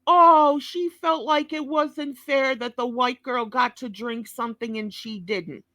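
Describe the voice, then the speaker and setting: A woman's voice: sits high, a little slow, a bit bright, dry recording, clean studio sound. A woman giving blunt, scolding commentary on a clip, swearing heavily. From New York. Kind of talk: profane reaction commentary.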